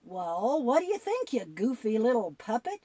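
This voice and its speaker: A woman presenting a children's Bible show, telling stories in an expressive, instructive way as dialogue with a puppet. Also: fairly high pitch, normal speed, no room sound.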